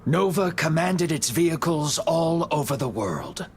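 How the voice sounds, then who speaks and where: A man narrating a video game story in a solemn, measured, dramatic scripted style. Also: on the high side, lively intonation, a little hiss or hum. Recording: music behind the voice. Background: background music.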